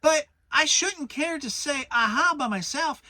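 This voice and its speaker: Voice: sits high, expressive, unhurried, sharp tone, no echo, voice pushed up, full character voice. A narrator voicing a small, timid, anxious male piglet with a hesitant stammer, in a children's storybook reading. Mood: nervous.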